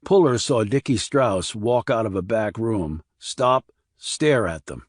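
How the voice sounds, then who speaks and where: A man's voice: on the low side, animated, a little slow, no echo, studio quality. A man reading scripted crime thriller narration as an audiobook narrator.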